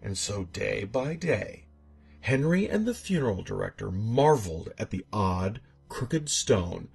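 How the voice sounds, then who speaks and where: A man tells a scripted horror tale in a low, very husky voice. His delivery is theatrical and suspenseful, with lively swings in pitch. Music plays behind him. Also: unhurried. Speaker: horror story narrator.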